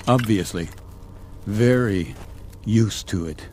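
An actor playing a stern, suspicious, relentless space captain in a radio drama, with a commanding, deliberate delivery. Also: male voice, on the low side, darkish tone, a little hiss or hum. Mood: stern, accusing.

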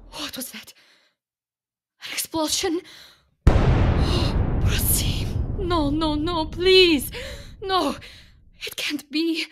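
An actress playing a fearful, distraught immigrant wife and mother in a radio play, in halting, repeated phrases. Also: extremely high pitch, steady tone, very noisy, heavily acted. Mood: frightened, distraught. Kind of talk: emotional radio drama acting.

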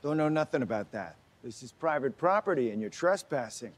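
A man's voice: mid-range voice, animated, brisk, close to an everyday voice. A middle-aged male actor playing a pushy, tough-talking security guard in an action film, brusque and confrontational. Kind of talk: film action drama acting. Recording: outdoor film scene, some background noise.

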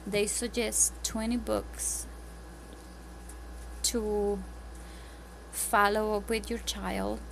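A woman's voice: mid-range voice, little pitch movement, extremely slow, creaky, pauses often, poor audio. A homeschooling mother with a Spanish accent, whose first language is Spanish, talking casually and earnestly at home with lots of ums and restarts. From Spanish-speaking country. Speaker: homeschooling parent. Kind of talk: casual tips vlog. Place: home, at a table, indoors. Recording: audible background noise.

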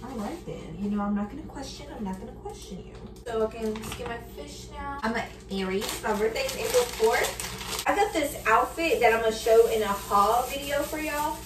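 High-pitched young woman in a roomy kitchen. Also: brisk. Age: early 20s. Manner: informal, slangy, candid, occasionally swearing. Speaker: cooking vlogger. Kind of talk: casual chat to viewers while cooking. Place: home kitchen, indoors. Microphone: camera on counter, a few feet away. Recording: roomy, distant kitchen recording with background noise.

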